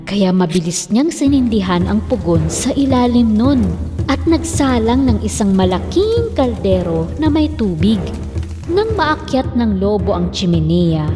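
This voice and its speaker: Isang babaeng nagsasalaysay ng nakasulat na kuwentong pambata, may alingawngaw at mahinang musika sa likod. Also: female voice, mid-range voice, big pitch swings, measured pace. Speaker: storyteller. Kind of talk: scripted children's fairy tale narration. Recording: long reverb, faint music behind.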